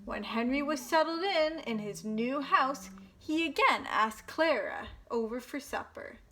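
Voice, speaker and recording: A woman with a high, bright voice reads a children's picture book aloud. She takes her time and pauses for drama, telling it expressively as a storytime host for young listeners. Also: lively intonation, slight background noise.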